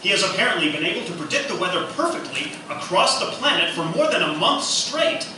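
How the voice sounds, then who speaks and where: A man's voice: sits high, big pitch swings, brisk. A man playing a formal TV news anchor in a live radio theatre play. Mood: urgent, stunned. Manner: formal announcer delivery. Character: male TV news anchor, formal, flustered by breaking news. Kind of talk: scripted newscast parody in live radio theatre. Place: live stage performance in echoey room. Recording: echoey hall, distant mic, noisy.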